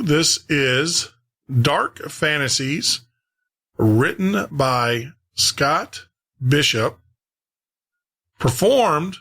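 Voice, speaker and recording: Slow-paced male late-night podcast host. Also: a little high, a bit bright. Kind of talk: casual late-night show presenting.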